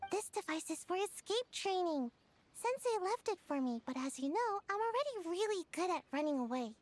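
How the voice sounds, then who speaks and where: A woman's voice: very high voice, a little hiss or hum, heavily acted. A woman voicing a sleepy, lazy little ninja girl in a video game, drowsy and a bit defensive, with game music behind.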